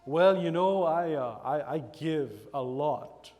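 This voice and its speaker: A man with a Trinidadian accent preaching a sermon, animated, acting out church members in quoted voices. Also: fairly high pitch, slow pace, in a large hall. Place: church sanctuary.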